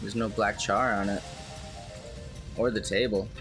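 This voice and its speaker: A man's voice: on the low side, fairly even intonation, unhurried. A young man making brief, teasing, casual remarks as a gaming co-host. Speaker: co-host watching the game. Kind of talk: casual joking chat. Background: game music.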